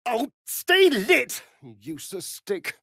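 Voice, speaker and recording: An actor playing a sardonic, vain vampire rogue in a video game, with an arch, theatrical, drawling delivery. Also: male voice, very high voice, huge pitch swings, slow pace, sharp tone, dry recording, studio quality, full character voice. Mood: irritated, mocking. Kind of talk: video game character lines.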